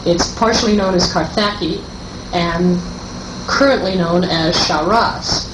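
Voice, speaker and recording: A woman speaks slowly and explains things in a measured, orderly way, as a game designer in a group discussion. Her mid-pitched voice comes through a noisy, roomy early-eighties cassette recording.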